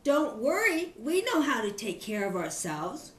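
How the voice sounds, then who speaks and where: A woman's voice: on the high side, big pitch swings, unhurried, reverberant. A woman voicing a wary, innocent little goat kid in a children's storybook, childlike and insistent. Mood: reassuring.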